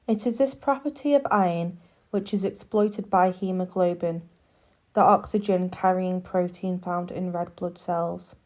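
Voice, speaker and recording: A woman reading a scripted educational narration in a measured, formal, explanatory manner, like a public information film. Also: fairly low pitch, darkish tone.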